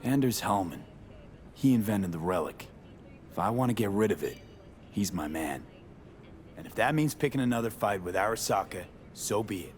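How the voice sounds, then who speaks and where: A man voices a tough young video game mercenary. The voice sits fairly low and comes in terse, cool fragments, stubborn and unfussy, over a faint rumble. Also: animated, moderate pace, long pauses, close to an everyday voice. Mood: dry, determined. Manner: terse, drops subjects, matter-of-fact. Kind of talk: video game dialogue acting.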